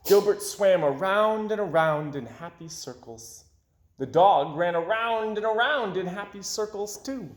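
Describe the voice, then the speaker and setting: A man reading a children's storybook aloud in an expressive style, with dramatic pauses and drawn-out words. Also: high-pitched. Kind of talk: expressive read-aloud narration. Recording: roomy, echoing indoor sound.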